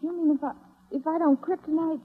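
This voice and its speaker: Young female usher dreaming of fame, played by an actress: pleading, earnest, slightly high, 1930s radio acting on a tinny recording. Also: fairly even intonation, fairly quick, lightly acted. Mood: torn, pleading.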